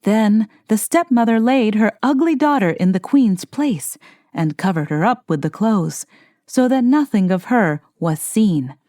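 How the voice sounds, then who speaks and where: A woman reading a fairy tale aloud as an expressive, scripted narration. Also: medium pitch, a little slow, no room sound, clean studio sound. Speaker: storyteller, audiobook narrator.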